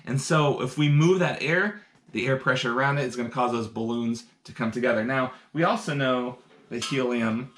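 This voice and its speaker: A man's voice: mid-range voice, normal speed, fairly bright tone, echoey room, slight background noise. A man teaching science through a live demonstration, upbeat and explaining each step for kids indoors. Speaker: science educator. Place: indoor room.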